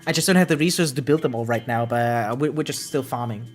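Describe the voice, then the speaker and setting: An excitable gaming streamer chatting casually and slangily on a livestream, swearing freely. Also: medium pitch, fast. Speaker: variety game streamer. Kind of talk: casual livestream chat about gacha games. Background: background music.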